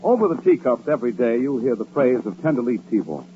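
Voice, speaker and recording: A man's voice: medium pitch, big pitch swings, fairly quick, muffled recording, over music. Male vintage radio announcer, sweeping intonation. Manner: emphatic, polished salesmanship. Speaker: commercial announcer. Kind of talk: 1940s radio advertisement. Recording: thin, noisy, humming vintage radio recording.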